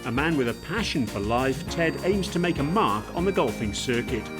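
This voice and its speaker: A man reads a sports feature in a smooth, measured way, his pitch rising and falling with plenty of movement. Plucked guitar music runs clearly underneath. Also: expressive. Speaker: narrator. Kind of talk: scripted sports narration.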